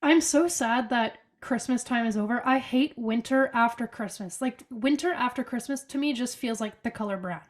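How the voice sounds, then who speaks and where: Young woman, congested with a cold, slightly high voice, chatty and upbeat, video call from a bedroom. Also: normal speed, few pauses. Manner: chatty, upbeat, many fillers like "like". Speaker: podcast co-host. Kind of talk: casual chat over video call. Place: bedroom at home.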